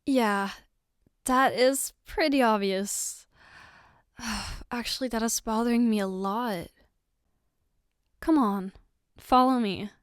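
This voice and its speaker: Woman acting a high-voiced, slow, loving girlfriend. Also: dry recording, studio quality. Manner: tender, coaxing, soft giggles between phrases. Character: loving, reassuring, playfully teasing adult girlfriend. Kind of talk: ASMR roleplay, intimate one-sided dialogue.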